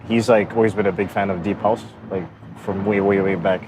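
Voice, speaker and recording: A man's voice: sits low, fairly even intonation, fairly quick, noisy background. A man from Zambia chatting casually and informally as a podcast co-host.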